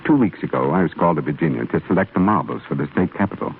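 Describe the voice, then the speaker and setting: An actor playing a solemn, devout, fatherly stonecutter with measured, reverent delivery in a 1930s radio drama. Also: extremely low pitch, animated, husky, full character voice. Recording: scratchy, muffled 1930s radio broadcast.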